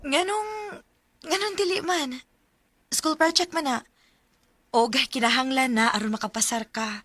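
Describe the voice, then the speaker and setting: Bright high-voiced young Filipino girlfriend. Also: measured pace. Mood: subdued, reassuring. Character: soft-spoken trusting young girlfriend, later betrayed and heartbroken. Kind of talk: radio drama acting.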